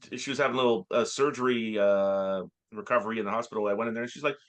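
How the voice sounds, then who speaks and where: A man co-hosting a comedy podcast, telling rambling stories in halting bursts full of filler sounds. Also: fairly low pitch. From Canada. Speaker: podcast co-host. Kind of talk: casual comedic chat.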